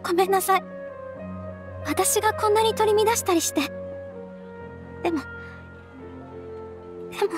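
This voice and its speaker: An actress playing a gentle, earnest young noble lady in a game, caring and girlish, with polite, emotive feminine speech. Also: very high-pitched, steady tone, measured pace, pauses often. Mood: upset, apologetic. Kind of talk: dramatic anime-style game dialogue. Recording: clear music behind the voice. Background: background game music.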